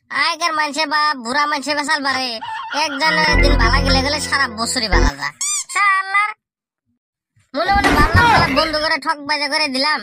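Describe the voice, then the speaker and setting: A man voicing a cheeky, self-pitying young village slacker in a comic cartoon, with a rural Bengali accent, exaggerated slangy grumbling and a pitched-up voice. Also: very noisy. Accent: rural regional Bengali. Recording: loud music under the voice. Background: loud music.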